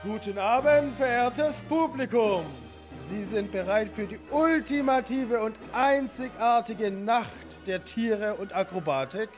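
A man's voice: extremely high pitch, full character voice. A man playing a grand circus ringmaster, making showy, emphatic announcements in a children's radio play. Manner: declamatory, emphatic.

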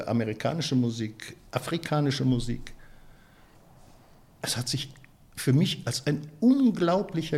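A man's voice: mid-range voice, gravelly, darkish tone. Guy from the ruhr area chatting in an interview, hesitant, lots of uhs and restarts. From Ruhr area, Germany. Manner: thoughtful, many hesitations and restarts. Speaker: interviewee, photographer and author. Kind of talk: reflective interview talk.

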